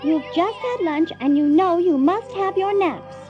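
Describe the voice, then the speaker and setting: A woman playing a young girl who acts the gentle, firm little mother in an audiobook drama. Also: very high voice, animated, a little slow, lo-fi sound, over music. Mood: motherly firmness.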